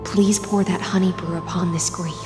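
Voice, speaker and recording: A woman voicing a mythic game heroine, tender, mournful and resolute, speaking lyrically and deliberately in a poetic monologue. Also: fairly low pitch, steady tone, natural voice. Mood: wistful. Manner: slow, lyrical, deliberate delivery. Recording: echoey long reverb under loud music.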